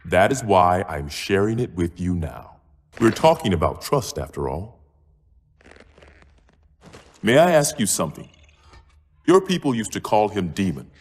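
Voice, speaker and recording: Game role: cool human male hunter, dry and wry yet lively intonation, long reverb. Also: low-pitched, unhurried, pauses often, breathy edge, exaggerated voice. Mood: wry, probing. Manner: dry, understated. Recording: long echoing reverb, faint noise.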